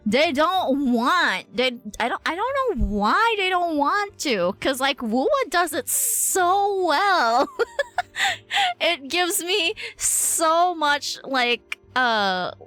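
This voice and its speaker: A woman's voice: high voice, big pitch swings, slow, pushed and bright, faint music behind. A woman streaming a game with casual live commentary, chatty, rambling and giggly, using plenty of slang.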